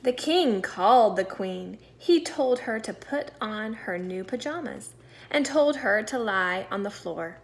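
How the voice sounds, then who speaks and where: A woman teacher reading a storybook aloud to young children in an expressive, deliberate way, stressing key words. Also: medium pitch. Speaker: second-grade teacher.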